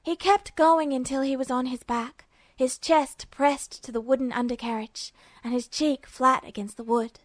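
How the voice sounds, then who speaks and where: A woman's voice: sits high, steady tone, normal speed, hardly any pauses, dry recording. A woman reading a scripted piece of fiction aloud as an amateur audiobook narrator.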